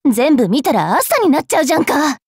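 High-pitched, bratty, cruel fairy girl. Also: big pitch swings, brisk, darkish tone, no room sound, studio quality. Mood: flustered excitement. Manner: sneering, taunting, rough-mouthed, drawling. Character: haughty, cruel young fairy girl priestess, bratty and teasing. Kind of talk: anime game voice lines.

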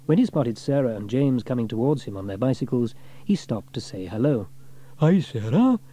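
A man narrating a scripted children's story as an audiobook reader, with expressive storytelling delivery. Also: mid-range voice, a little fast.

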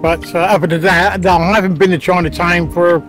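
A man's voice: sits high, level tone, fairly quick, fairly bright tone. A man in his sixties chatting casually and unhurriedly in an outdoor street interview. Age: 60s. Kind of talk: casual chat. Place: bench in open city square. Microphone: handheld furry interview mic. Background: music.

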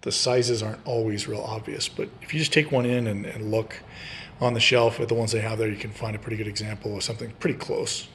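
A man's voice: on the low side, fairly even intonation, fast talker, a bit bright, slight background noise. Casual man explaining a repair. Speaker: host of a DIY repair channel.

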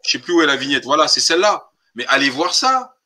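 A man with a very bright, sharp, fairly high voice hosts a political live stream. He speaks colloquially and insistently, driving points home with emphatic rhetorical questions. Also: very animated, fast talker. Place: online group call.

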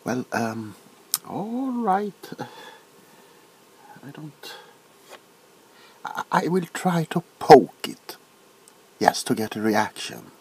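A middle-aged man playing a nervous, bewildered, awkwardly polite doctor in a soft-spoken comedic ASMR roleplay, stammering and repeating himself. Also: mid-range voice, huge pitch swings, drawn-out words, long pauses, a little hiss or hum, heavily acted, poor audio. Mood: hesitant. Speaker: ASMR performer.

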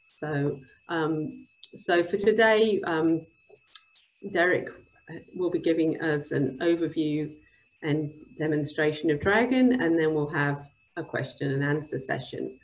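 A woman with a slightly low voice hosts an online webinar. She introduces things informally, speaking over a video call. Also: measured pace, low-quality recording. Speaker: webinar host.

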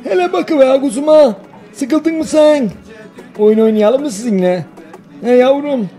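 An old village grandfather in a cartoon, very high in pitch and soft-edged in tone. He speaks a folksy Denizli Aegean dialect, fond and playful with the little ones. Faint music runs behind him. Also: measured pace. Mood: doting, fond. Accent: Denizli, Aegean Turkish. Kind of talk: cartoon voice acting.

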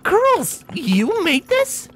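A man voicing a gentle, earnest female cartoon pony in a story reading. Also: very high voice, wildly swooping pitch, drawn-out words, faint music behind, voice pushed up, heavily acted. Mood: amazed.

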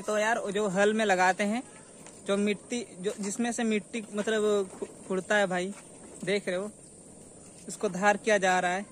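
A man's voice: sits high, a bit bright. A young man chatting casually and informally to viewers of his vlog, often repeating himself. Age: young adult. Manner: informal, repetitive, addresses viewers as friends. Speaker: vlogger. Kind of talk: casual vlog chat to camera. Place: outdoors in a village yard under trees. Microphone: phone camera at arm's length. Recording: outdoor phone recording with faint noise.

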